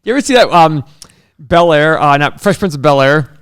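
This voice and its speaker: A man co-hosting a podcast in easy, joking banter. His voice sits a little higher than most men's, and he laughs readily while needling his co-host with skeptical questions. He was born in Iraq. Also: animated, fairly quick, fairly bright tone, clean tone, no room sound, studio quality. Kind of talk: casual banter.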